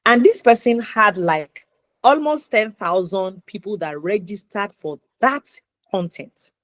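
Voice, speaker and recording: A woman with a Nigerian accent hosting an interview show, speaking in deliberate, emphatic phrases and posing rhetorical questions. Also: medium pitch, expressive, no room sound. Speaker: talk show host.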